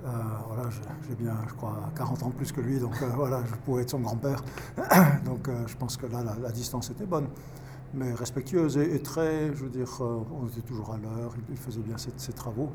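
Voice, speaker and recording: A man in his fifties, a pastor volunteering as a tutor, answering interview questions in a halting way with many restarts. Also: medium pitch, background noise. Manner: halting, restarts words often.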